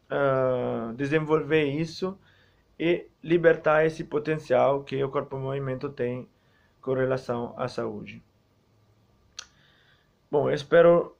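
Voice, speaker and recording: A man's voice: medium pitch, gravelly. A young Brazilian man with a Brazilian Portuguese accent, giving a recorded academic talk on physical education and health, hesitant, with many fillers and restarts. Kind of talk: recorded talk for a student group. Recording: dull lo-fi recording.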